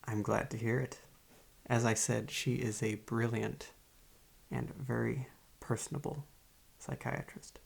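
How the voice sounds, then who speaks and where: A man playing a calm, formal, probing psychiatrist in a soft-spoken ASMR roleplay, with a creaky, husky voice and a measured, polite manner. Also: medium pitch, full character voice. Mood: calm, courteous. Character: calm, formal, probing male psychiatrist interviewing a young patient.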